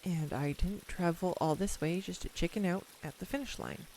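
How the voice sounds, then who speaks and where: A woman's voice: fairly low pitch, a little mellow, a little hiss or hum, close to an everyday voice, low-quality recording. A young woman acting a tender, nervous childhood friend come home, in an intimate, hesitant audio roleplay monologue. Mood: fond, nostalgic. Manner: hesitant, reflective, confiding, with pauses. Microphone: close USB desktop microphone.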